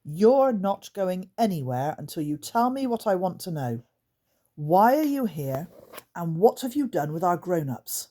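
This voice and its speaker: A woman's voice: on the low side, big pitch swings, measured pace, dark tone. A woman voicing Lucy, a young girl who is upset but stubborn and determined. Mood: firm. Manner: sobbing then stubborn.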